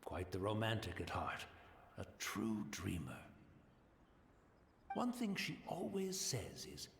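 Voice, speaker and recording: A sweet blind old tea house grandpa, rambly and polite, pitch bounces a lot, echoey room. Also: male voice, huge pitch swings, slow pace, creaky, a little hiss or hum, full character voice. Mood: wistful. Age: older. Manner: rambling, courteous, old-fashioned. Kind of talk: video game cutscene voice acting.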